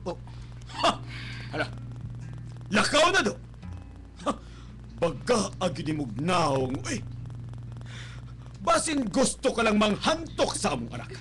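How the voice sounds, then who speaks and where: A man's voice: high-pitched, huge pitch swings, slow, pauses often, a bit bright, full character voice. A man acting a rich, snobbish, scolding father with a Cebuano accent in a melodramatic radio drama. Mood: scornful. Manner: haughty, scolding, explosive. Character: rich, snobbish father scorning daughter's poor suitor. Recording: noisy live-stream radio capture with hum.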